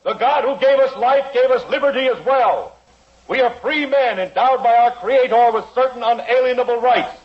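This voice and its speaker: A man's voice: very high voice, normal speed. A man acting in a 1950s radio drama as an earnest, defiant colonial defense lawyer, formal and oratorical. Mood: impassioned, defiant. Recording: dull lo-fi vintage radio broadcast.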